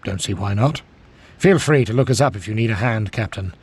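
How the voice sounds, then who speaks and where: An actor playing an affable, understated British major in a video game, clipped and gentlemanly. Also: male voice, on the low side, animated, a little fast, husky. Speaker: escape officer. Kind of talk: video game cutscene acting.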